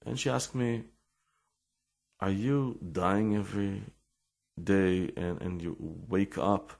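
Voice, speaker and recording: A man with an Israeli accent giving an informal religious talk with personal stories, halting and repeating words as he searches for English. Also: low voice, steady tone, vocal fry, darkish tone.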